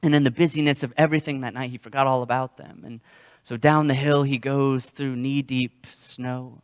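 A young man preaching a sermon as a pastor, telling stories in a halting way and often repeating words. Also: mid-range voice, little pitch movement, clear, resonant tone. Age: young adult. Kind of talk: sermon with storytelling. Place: church sanctuary, indoors. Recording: long hall reverb.

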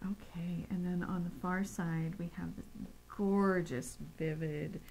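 A woman's voice: fairly low pitch, fairly even intonation, a little slow, a little mellow, a little hiss or hum, low-quality recording. A middle-aged woman co-hosting in a studio, talking casually and effusively, drawing out descriptions of colors. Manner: gushing, effusive, lingers on color words. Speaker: co-host. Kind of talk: casual chat. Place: bright studio set at a table.

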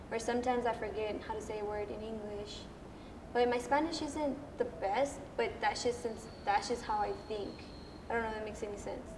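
A woman's voice: fairly high pitch, background noise. A young woman answering interview questions, hesitant and searching for words. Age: young adult. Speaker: student interviewee.

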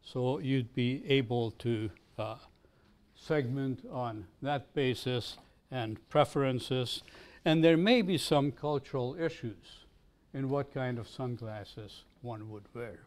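An older man lectures at a business school in a university lecture room, explaining ideas in a measured way. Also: medium pitch, a little mellow.

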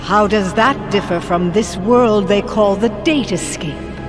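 A woman's voice: mid-range voice, measured pace, natural voice. A woman voicing a cold, scheming evil sorceress in a game cutscene, grand and menacing in manner. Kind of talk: animated game cutscene acting. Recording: ominous music behind.

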